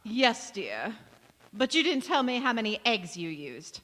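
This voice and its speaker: A woman's voice: fairly high pitch, very animated, a little slow, a bit bright. A woman playing a doting, condescending older mother-in-law in 1940s radio comedy, cooing and mock-polite. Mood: sweetly catty. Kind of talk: 1940s radio comedy acting, staged revival. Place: community theatre stage in a church. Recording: echoey hall, long reverb.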